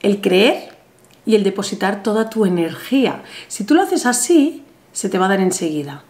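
Spanish woman in her 30s, chatty and animated, explaining stuff at a table, roomy echo. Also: medium pitch, normal speed. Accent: Spain Spanish. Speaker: ritual instructor. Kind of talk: step-by-step ritual tutorial to camera. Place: small bright room indoors, seated at table. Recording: roomy echoey room sound.

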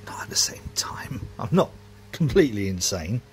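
Chatty man, low voice. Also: big pitch swings, moderate pace, in a whisper. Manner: chatty, self-mocking, rambling with restarts. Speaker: home brewer vlogger. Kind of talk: casual rambling vlog. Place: cluttered home brewery workshop, indoors.

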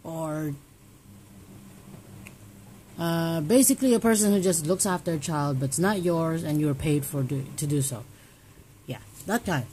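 A woman's voice: low-pitched, a little slow, pauses often, warm tone, slight background noise, low-quality recording. A young woman from Hong Kong talking casually at home in a personal vlog, rambling and restarting her sentences as she thinks aloud. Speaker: vlogger. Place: home, indoors.

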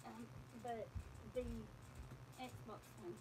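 A woman's voice: fairly high pitch, very slow. An older woman chatting casually at home, asking questions from across the room. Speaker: family member. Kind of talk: casual household chat. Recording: distant voice, loud room noise.

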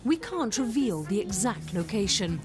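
A woman, a reporter, reading scripted documentary narration in a measured voice-over. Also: mid-range voice, sing-song. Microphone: studio voice-over. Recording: voice-over over music.

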